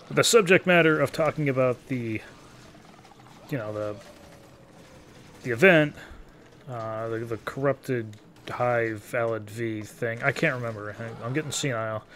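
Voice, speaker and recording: A man in his early thirties giving casual, rambling gameplay commentary in an informal, halting, profane, self-deprecating way. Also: mid-range voice, sharp tone, a little hiss or hum. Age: early 30s. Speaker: gaming video creator.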